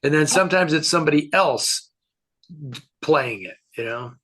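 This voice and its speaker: A man's voice: fairly high pitch, lively intonation, normal speed, a bit bright. An older man with an American accent, chatting casually and telling stories as a co-host. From San Francisco area, United States. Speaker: co-host answering fan questions. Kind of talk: casual chat, reminiscing.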